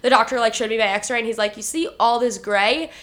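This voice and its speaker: A woman co-hosts a casual podcast, her voice stuffy and nasal. She sits a little high in pitch and sounds bright and forward. She talks in a loose, chatty way and laughs easily. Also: brisk. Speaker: podcast co-host. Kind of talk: casual chat. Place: lives in New York City.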